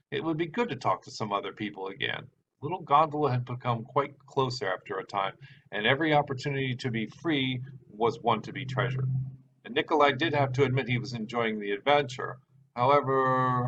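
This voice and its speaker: A man's voice: medium pitch, fairly bright tone. A man introducing and reading his own short story aloud as narrator. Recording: dull sound with background hiss.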